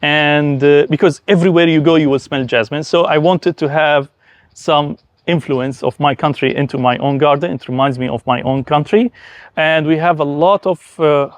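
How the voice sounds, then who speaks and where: A man's voice: on the high side, normal speed, no echo. A man from Syria with a Syrian Arabic accent speaks enthusiastically and conversationally, explaining things as host of an informal garden and villa tour.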